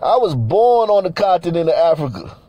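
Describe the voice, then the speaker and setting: A man's voice: fairly high pitch, big pitch swings, fairly quick, mellow, highs cut off. A man from Nigeria giving blunt, profane, repetitive commentary over pictures, often laughing between points.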